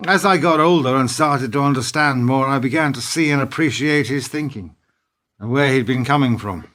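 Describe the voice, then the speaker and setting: A man acting in a radio play as a bitter, principled business partner, speaking in a measured, sardonic, needling way. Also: mid-range voice, fairly bright tone, natural voice. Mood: wistful, reflective.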